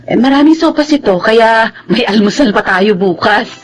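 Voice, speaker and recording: A woman playing a poor, caring, apologetic mother with a husky voice in a radio drama. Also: a little high, lively intonation, moderate pace, soft background music. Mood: gentle, apologetic. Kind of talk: Tagalog radio drama acting.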